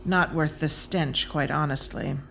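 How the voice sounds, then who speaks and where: An actress playing a haughty, disdainful aristocratic vampire in an audio drama, with formal, theatrical, snobbish speech. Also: female voice, low voice, a little slow, vocal fry, fairly bright tone, a little hiss or hum, exaggerated voice. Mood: grave, haughty. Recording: music under the voice. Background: music.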